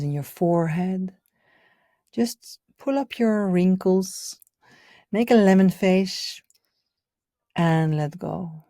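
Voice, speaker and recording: A woman leading a guided meditation in a gentle, soothing, unhurried way, her soft voice often trailing off toward a whisper. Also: lowish voice, no room sound, studio quality. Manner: soothing, gentle, unhurried, with audible sighs and breathy pauses. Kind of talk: guided meditation, live session.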